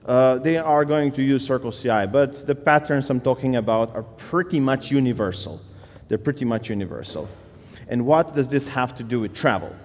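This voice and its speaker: Male conference speaker from Slovenia, mid-pitched, casual and filler-heavy, long hall reverb. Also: moderate pace. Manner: casual, loose, with many fillers and restarts. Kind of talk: conference talk.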